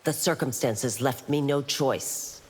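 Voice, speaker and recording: A woman's voice: very deep voice, takes it slowly, heavy background noise. An actress playing a stern, dutiful female warrior constable in a video game, formal and firm. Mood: measured, formal. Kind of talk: video game character dialogue. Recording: long hall-like reverb.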